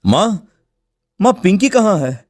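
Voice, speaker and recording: A man's voice: high-pitched, sing-song, takes it slowly, dry recording, full character voice. A man voicing an anxious, lamenting village father in a cartoon story. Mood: anxious, despairing. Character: distraught village father searching for his daughter. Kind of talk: Hindi cartoon story acting.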